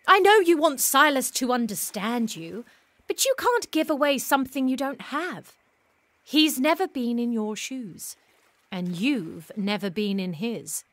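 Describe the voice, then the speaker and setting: Playful wise regency lady, gentle philosophical type, high voice that swoops around a lot. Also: lively intonation, a little slow, no room sound. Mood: wise, reassuring. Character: wise, wry, playful woman consoling a younger companion. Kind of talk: period audio drama acting.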